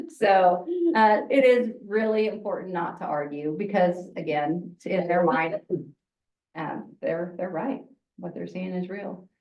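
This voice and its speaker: Loud, chatty woman teaching in an echoey room. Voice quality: lower voice. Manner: loud, chatty, laughs often. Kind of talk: informal workshop talk. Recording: echoey room, distant mic, dull sound.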